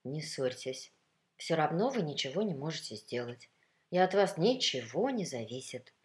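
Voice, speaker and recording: A woman voicing a prickly, gloomy male garment with a low voice in a storybook reading. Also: big pitch swings, normal speed. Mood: gloomy, dismissive.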